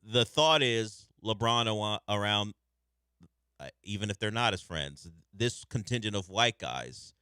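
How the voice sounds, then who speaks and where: A man hosting a sports radio show, talking through the news in a conversational, measured way from a studio microphone. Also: fairly low pitch, lively intonation, bright, forceful tone, smooth, no room sound. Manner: conversational, measured, thinking aloud.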